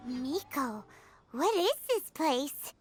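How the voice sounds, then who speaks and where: An extremely high, squeaky little fairy girl from a video game. She is timid and excitable, swinging expressively up and down and stretching out her words in a whiny, childlike way. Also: extremely slow, soft background music, full character voice. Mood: uneasy. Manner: speaks of herself in the third person, whiny and expressive.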